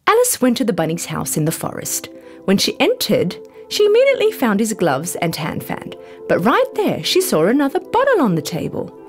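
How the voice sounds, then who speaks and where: A woman reading a scripted bedtime story for children in an expressive, deliberate storytelling manner. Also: fairly high pitch. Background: background music.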